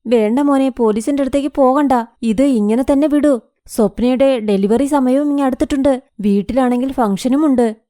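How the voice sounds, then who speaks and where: Protective cartoon mother voiced by a woman, middle-aged, conciliatory and soothing, high register, very dry. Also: warm tone, no room sound, close to an everyday voice. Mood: cautious, resigned. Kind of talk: cartoon moral story acting.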